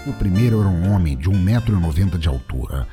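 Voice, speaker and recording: A man's voice: low-pitched, animated, fast, music in the background. A man reading scripted narration for an audio drama.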